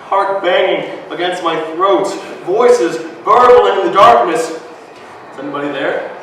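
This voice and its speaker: Male actor as a scared, foul-mouthed boy, high-pitched and raw, echoey hall heard from far off. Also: a little slow, a little hiss or hum. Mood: haunted. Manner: haunted, then frantic shouting and cursing. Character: angry frightened boy named Billy, swearing. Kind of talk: stage monologue.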